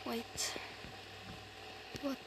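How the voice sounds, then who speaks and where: Slow, hesitant young woman gamer. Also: heavy background noise. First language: Swedish. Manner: slow, hesitant, thinking aloud with pauses. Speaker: gamer recording her screen. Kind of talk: casual gameplay commentary.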